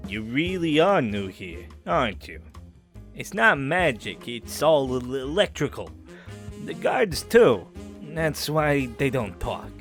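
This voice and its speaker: A man's voice: mid-range voice, big pitch swings, slow pace, a bit bright, soft background music, heavily acted. A man playing a friendly, teasing crook in prison in an audio drama, talking in a playful, colloquial way. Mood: casual, amused. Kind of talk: audio drama character acting.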